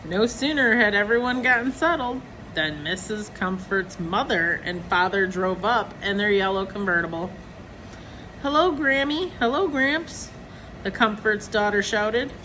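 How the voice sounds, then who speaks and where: A woman reading a children's picture book aloud in a deliberate storytelling manner, acting out the characters' lines. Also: medium pitch, sharp tone, a little hiss or hum, poor audio. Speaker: storybook reader.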